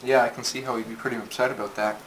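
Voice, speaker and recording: A man's voice: mid-range voice, level tone, very fast. A man in casual comic banter as the sidekick listener, asking questions in a mild, hesitant way. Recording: noisy amateur home recording.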